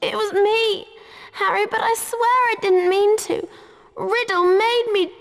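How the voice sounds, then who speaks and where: A woman's voice: very high voice, steady tone, a little slow, vocal fry, full character voice. A woman voicing a frightened, remorseful young schoolgirl in a video game, pleading in distress. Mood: distraught, pleading. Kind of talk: video game character acting. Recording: long hall reverb.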